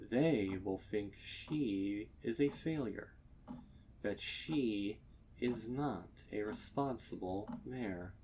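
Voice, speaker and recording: A man reading a scripted horror story as narrator, with deliberate, dramatic delivery. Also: lowish voice, darkish tone, slight background noise. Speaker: narrator of fan fiction readings.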